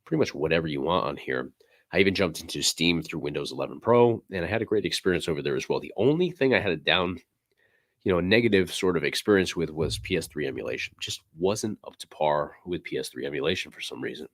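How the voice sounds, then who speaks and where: A man hosting a casual livestream, slightly hoarse and losing his voice, talking in a relaxed, rambling way with many fillers. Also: extremely low pitch, dry recording, clean studio sound. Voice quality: raspy. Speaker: livestream host.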